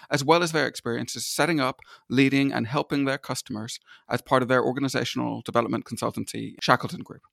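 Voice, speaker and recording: Fast-talking, gravelly male podcast host. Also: mid-range voice, fairly bright tone. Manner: upbeat, encouraging, uses "sort of" and "um". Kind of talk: podcast hosting and interviewing.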